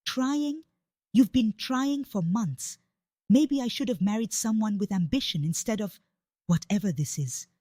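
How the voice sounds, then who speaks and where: A woman's voice: medium pitch, animated, a little slow, dark tone, no echo, studio quality. A woman storyteller voicing a scornful, sarcastic wife who is fed up with her husband. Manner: sarcastic, snapping, cutting.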